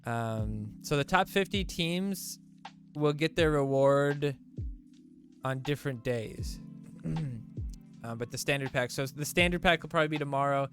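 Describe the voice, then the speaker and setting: A man's voice: fairly high pitch, lively intonation, unhurried, faint music behind. A man hosting a gaming live stream in casual commentary, sounding stuffy and rambling with lots of fillers and restarts. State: stuffy nose.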